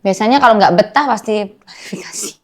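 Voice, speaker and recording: A woman's voice: medium pitch, fast. A young woman from Madiun with a thick Javanese accent, chatting casually as a podcast guest and dropping in Javanese and English words. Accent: Javanese-accented Indonesian. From Madiun, East Java, Indonesia. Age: young adult. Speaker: guest singer.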